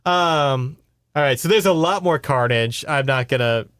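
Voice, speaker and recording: A man's voice: mid-range voice, unhurried, fairly bright tone, smooth, dry recording, clean studio sound. A man hosting a podcast, giving a casual, rambling, sweary book report in conversation. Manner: informal, sweary, rambling storytelling.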